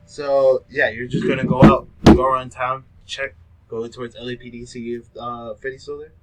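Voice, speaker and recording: A man running a tabletop role-playing game as game master, narrating in a casual, relaxed way. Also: medium pitch, moderate pace, very noisy.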